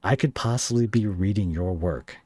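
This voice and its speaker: A man's voice: on the low side, moderate pace, darkish tone, dry recording. A man hosting a podcast, interviewing a guest in a casual, conversational way.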